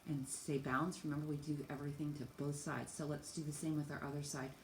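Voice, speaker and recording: A woman's voice: low voice, flat delivery, fast, darkish tone. A woman teacher guiding children through yoga stretches with simple, encouraging step-by-step cues, talking as she demonstrates. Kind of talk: instructional exercise guidance, demonstrating while talking. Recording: echoey room, faint background noise.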